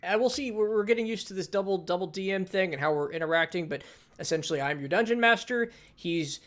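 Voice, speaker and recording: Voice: medium pitch, quick pace. A dungeon master hosting a casual tabletop game stream, joking and self-deprecating, often stammering and restarting. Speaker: dungeon master and show host.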